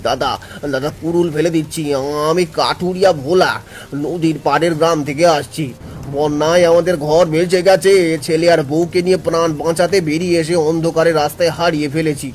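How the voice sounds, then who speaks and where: A man's voice: fairly high pitch, fairly even intonation, brisk, slight background noise, natural voice. A man playing a poor, determined woodcutter and father in a cartoon, speaking earnestly and emphatically. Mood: pleading. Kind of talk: cartoon voice acting.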